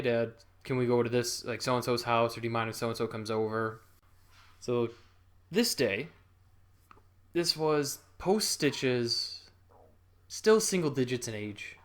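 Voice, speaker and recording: Young man, casual storytelling, rambling, chuckles, mid-pitched voice. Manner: rambling, self-interrupting, chuckles often.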